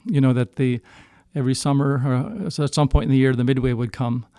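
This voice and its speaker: A middle-aged man from Canada speaks in a smooth, clear voice, captured close and dry. He tells his story casually, in a halting way, doubling back to reword himself as he goes. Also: medium pitch, fairly even intonation, warm tone, no room sound. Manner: halting, circling back to rephrase. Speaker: singer-songwriter guest. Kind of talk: casual storytelling in a podcast interview.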